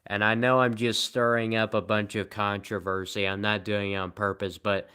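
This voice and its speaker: A tired man live streaming, rambling in a sarcastic, self-mocking way as he answers viewer comments at low energy. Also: little pitch movement, moderate pace, sharp tone, clean tone.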